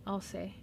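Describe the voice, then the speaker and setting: A woman with a Southern California accent whose first language is Spanish, talking unscripted and reflectively in a slow, pausing monologue as a podcast host. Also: slight background noise. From Mexican-American. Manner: slow, reflective, with pauses and fillers.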